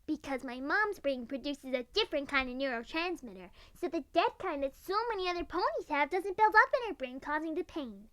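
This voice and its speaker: A woman's voice: high voice, expressive, fairly quick, hardly any pauses, pushed and bright, dry recording, studio quality. A woman playing an eager, curious young pony girl in an audio drama. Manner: earnest, inquisitive.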